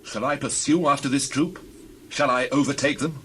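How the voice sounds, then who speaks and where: An actor playing an earnest, devout Hebrew warrior leader, speaking formally in dramatized scripture. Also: male voice, mid-range voice, fairly even intonation, moderate pace, pushed and bright, a little hiss or hum, close to an everyday voice. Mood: prayerful. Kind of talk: dramatized scripture reading, King James English.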